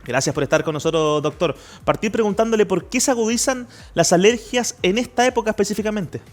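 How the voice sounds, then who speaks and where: A man hosting a morning show, speaking quickly in a slightly high voice. He asks friendly questions and keeps slipping in short words of agreement. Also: soft background music. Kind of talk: casual interview questions.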